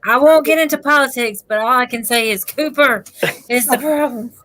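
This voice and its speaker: Blunt woman in her forties, bright. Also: high-pitched, moderate pace, no echo. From North Carolina, United States. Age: 40s. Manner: blunt, joking, laughs readily. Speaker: co-host of a pet keepers' live show. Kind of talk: casual group chat.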